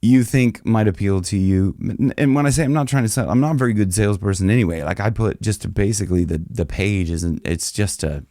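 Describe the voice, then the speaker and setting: A man's voice: lowish voice, fairly quick, hardly any pauses, mellow, no room sound, clean studio sound. A man who is a rock singer and vocal coach, talking casually to the camera with a loose, rambling delivery full of restarts. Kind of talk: casual direct-to-camera advice.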